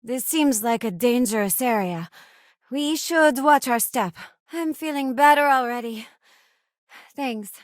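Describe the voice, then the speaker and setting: A woman's voice: fairly high pitch, unhurried, no room sound, clean studio sound, close to an everyday voice. An actress playing a principled, idealistic female crew companion in a space video game, speaking sincerely and conversationally. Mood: helpful, ready. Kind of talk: acted game companion dialogue lines.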